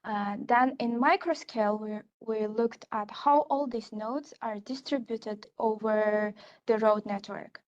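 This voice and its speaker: A woman's voice: fairly high pitch, steady tone, unhurried, a little mellow, muffled recording. A young woman gives an academic conference talk on her research, speaking hesitantly with many fillers and restarts. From Kazakhstan. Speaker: research assistant presenting her research.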